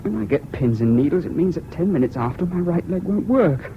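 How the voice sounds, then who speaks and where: A man's voice: fairly high pitch, lively intonation, brisk, close to an everyday voice. A jaunty young British serviceman, played by a male radio actor, reckless and breezy, with clipped lines that swing up and down. The old radio play recording is muffled and noisy. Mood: stoic. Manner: breezy, clipped, understated officer-class speech. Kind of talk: 1970s BBC radio drama acting.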